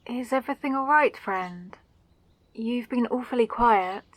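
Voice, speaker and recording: A woman performs a kind, curious female mage for a fantasy audio roleplay. Her voice sits fairly high and is recorded close and dry. She speaks softly and courteously, with a slightly old-fashioned turn of phrase and an unrushed delivery. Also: a little slow, no room sound, close to an everyday voice. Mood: gentle concern. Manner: polite, soft-spoken, soothing, old-fashioned phrasing. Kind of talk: roleplay audio drama addressed to the listener.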